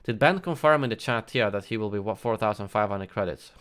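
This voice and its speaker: A man's voice: lowish voice, fairly quick, a bit bright, smooth. A man hosting a gaming news livestream, chatting casually and answering viewers' chat with frequent fillers and self-corrections.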